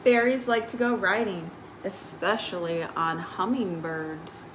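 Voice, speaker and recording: A woman's voice: mid-range voice, a little slow. Woman reading storytime, faint background noise. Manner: simple, explanatory, addressing young children. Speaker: librarian hosting a children's storytime. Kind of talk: picture-book read-aloud with informal asides. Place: live online stream.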